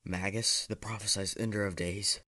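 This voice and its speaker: A man's voice: on the low side, fairly even intonation, moderate pace, sharp tone, no room sound. A man playing an anxious, bewildered hero in an amateur stop-motion film, speaking hesitantly and uneasily. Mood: confused.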